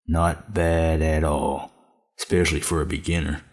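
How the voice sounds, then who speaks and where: An amateur actor playing a kindly, encouraging older father figure in an audio drama, speaking in a measured, approving way. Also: rumbling low, flat delivery. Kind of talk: fan audio drama acting.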